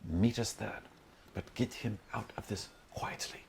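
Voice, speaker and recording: Wary male fantasy companion, performed by a game master: low, hushed, terse, formal, secretive. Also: steady tone, measured pace, vocal fry, crowd noise. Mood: hushed, urgent. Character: wary companion laying out a hushed escape plan.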